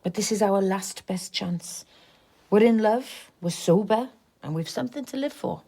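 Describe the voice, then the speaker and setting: A woman's voice: medium pitch, normal speed, warm tone, lightly acted. An actress playing a tough, shrewd working-class woman, frank, sardonic and coaxing, in a radio drama. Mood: pleading, insistent.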